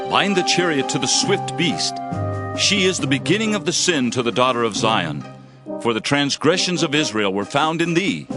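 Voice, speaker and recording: A man's voice: medium pitch, natural voice. A man playing a grave Hebrew prophet who proclaims judgment and lament in solemn, declamatory scripture phrasing for a dramatized audio Bible. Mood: mournful. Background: background music.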